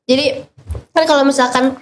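Brief: bright-voiced woman host, loose slangy banter, mild cough, close boom mic in a small studio. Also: fairly even intonation, normal speed, slight background noise. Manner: chatty, playful, slangy Jakarta-style banter. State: has a cough. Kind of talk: casual live-stream chat. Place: small studio with pink walls and colored lights. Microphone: studio mic on boom arm.

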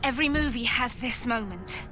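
A woman's voice: fairly high pitch, moderate pace, bright, forceful tone, soft background music. A woman playing a determined, caring survivor in a video game, speaking with emotive urgency. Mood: coaxing.

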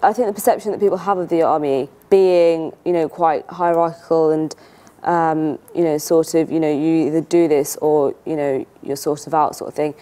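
A young woman in her twenties answers questions outdoors on a campus. Her voice is low and mellow, and she speaks hesitantly, with many fillers and restarts. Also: steady tone, normal speed. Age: 20s. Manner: hesitant, full of fillers like you know. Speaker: student and cadet leader. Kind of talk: interview answer.

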